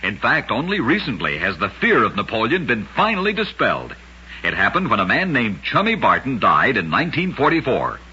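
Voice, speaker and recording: A man's voice: medium pitch, wildly swooping pitch, fairly quick, bright, forceful tone, slightly rough. A man reading scripted 1940s-style radio narration with a dramatic storytelling delivery. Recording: dull lo-fi vintage radio recording.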